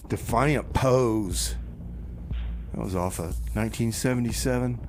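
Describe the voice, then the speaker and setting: A man's voice: medium pitch, a little slow, darkish tone. A man hosting a community radio music show, chatting casually between songs and comedy bits. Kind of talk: casual on-air DJ chat.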